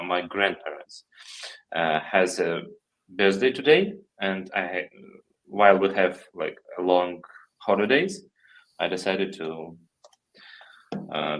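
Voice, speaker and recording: A young man from Kazakhstan, a guest on an online interview, speaking halting with many fillers. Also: deep voice, fairly bright tone, rough recording. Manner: halting non-native English with many fillers, laughs easily.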